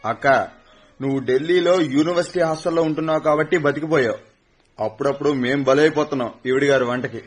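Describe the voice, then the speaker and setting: A young man playing a teasing college-student son in a radio play, with a husky voice. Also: on the high side, fast, faint music behind. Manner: teasing, cheeky. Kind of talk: radio drama acting.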